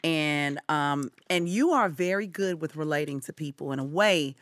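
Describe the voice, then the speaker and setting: A woman's voice: deep voice, expressive, normal speed, no echo. A woman, co-hosting a podcast in casual, affectionate chat. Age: 40s. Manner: chatty, affectionate, calls her partner honey. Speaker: podcast co-host.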